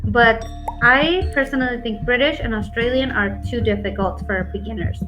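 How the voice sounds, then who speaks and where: A woman's voice: a little high, moderate pace. A woman with a neutral American accent tutoring English over a video call, talking frankly and often saying "like". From United States. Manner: frank, often uses "like" as a filler. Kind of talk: casual one-on-one lesson chat. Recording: dull lo-fi call audio with faint background music.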